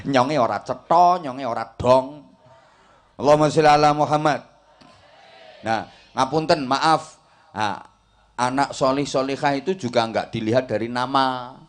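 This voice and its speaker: A man's voice: mid-range voice, unhurried, pauses often. A middle-aged man with a Javanese accent, preaching a comic sermon to a village congregation and teasing the crowd. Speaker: Islamic preacher.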